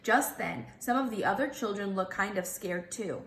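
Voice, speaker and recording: A woman reading a children's storybook aloud like a teacher, expressive and clearly paced for young listeners. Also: medium pitch, moderate pace, a little hiss or hum. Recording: roomy echo.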